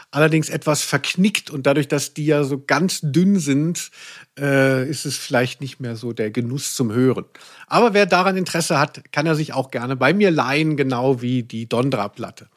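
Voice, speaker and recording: A man co-hosting a podcast in casual chat, wry and rambling, often feeling for his words. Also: on the high side. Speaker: podcast co-host. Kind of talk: casual chat about audio dramas.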